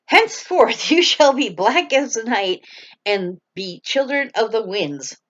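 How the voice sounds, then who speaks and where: Solemn gentle young holy man, earnest, slow, woman reading it, lo-fi. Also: fairly high pitch, expressive, exaggerated voice.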